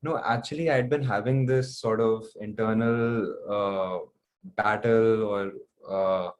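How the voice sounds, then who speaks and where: A young man speaking reflectively and haltingly as a guest in a casual interview. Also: on the low side, steady tone, dull audio, a little hiss or hum. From New Delhi, India. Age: young adult. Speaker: interview guest, singer-songwriter.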